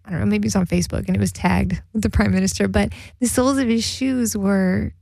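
A woman's voice: medium pitch, fairly even intonation, quick pace, creaky, warm tone, no room sound. A woman co-hosting a casual radio chat show, reading listener emails and laughing often.

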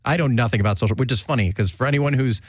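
Host, young guy from jersey, mid pitch, chatty and joking, dry close sound. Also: no echo. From New Jersey. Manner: chatty, self-mocking, quick laughs. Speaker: podcast host. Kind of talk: casual chat.

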